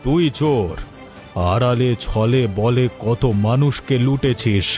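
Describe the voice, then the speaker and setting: A man's voice: mid-range voice, slow, warm tone, soft background music, close to an everyday voice. A man voicing an angry, righteous villager making scornful accusations in a folk-tale cartoon. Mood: scornful anger. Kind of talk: dramatic Bengali folk-tale cartoon dialogue.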